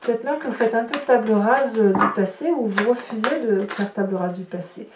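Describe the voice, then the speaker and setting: A woman's voice: a little high, normal speed. A woman giving an informal tarot reading to viewers, chatting and thinking aloud as she handles the cards. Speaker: tarot reader and channel host. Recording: roomy, distant mic with light noise.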